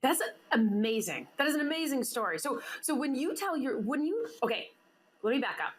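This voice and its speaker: A woman's voice: high-pitched, sing-song, brisk, a little hiss or hum. A woman hosting an interview show, bubbly and teasing, laughing often as she banters with her guest. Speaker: podcast host. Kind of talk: casual interview chat.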